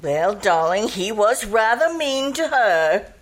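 A woman playing a genteel, gently flustered middle-aged society mother in an old-time radio comedy style. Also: medium pitch, big pitch swings, slow pace. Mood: patient, chiding.

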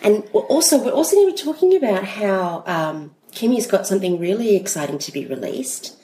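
A woman hosting a podcast, her voice nasal and stuffy from the flu. She talks playfully with a lively, swooping pitch and laughs often. The room has an echoey ring. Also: brisk, a little hiss or hum. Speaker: podcast co-host. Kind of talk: casual chat.

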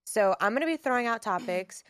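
A woman's voice: fairly high pitch, expressive, brisk, fairly bright tone, clean tone. A woman in her twenties hosting a podcast, explaining things frankly in casual chat. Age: 20s. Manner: frank, explains the game rules. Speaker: podcast host.

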